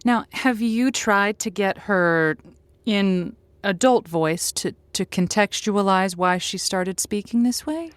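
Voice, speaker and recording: A woman's voice: mid-range voice, slow, smooth, no echo, close to an everyday voice. A woman playing a chatty, affectionate advice show host who talks in a flirty, familiar way and uses pet names. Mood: sympathetic, advising. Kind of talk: improvised comedic call-in chat.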